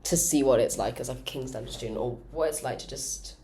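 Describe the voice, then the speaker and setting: A woman's voice: rumbling low, quick pace, mellow, a little hiss or hum. A young woman of about nineteen with an English accent. She talks in a chatty, informal way as a podcast co-host, with lots of filler "like" in loose, casual conversation. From Birmingham, England. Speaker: podcast co-host, dental student. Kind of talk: casual chat.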